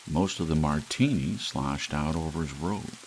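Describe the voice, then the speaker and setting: A man's voice: a bass voice, measured pace, poor audio. A man reading a short story aloud as an ASMR audiobook narration, as an audiobook reader. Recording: steady hiss, rain sound underneath. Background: soft rain.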